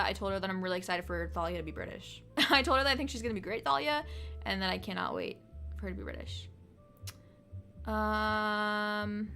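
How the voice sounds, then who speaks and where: A woman in her twenties chatting on a livestream, telling excited stories in a casual, rambling way. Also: mid-range voice. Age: 20s.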